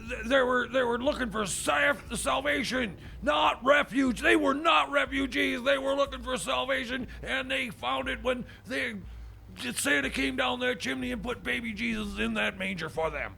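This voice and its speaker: A high-pitched, gravelly-voiced Christmas zealot, as a man plays him for a radio bit, preachy and indignant, tripping over restarts as he lectures. It sounds like a small radio studio with a faint hiss behind. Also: normal speed, pushed and bright, raised voice, exaggerated voice. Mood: preaching indignantly. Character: blustering self-righteous Christmas-zealot man. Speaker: regular guest character. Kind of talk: improvised radio comedy bit.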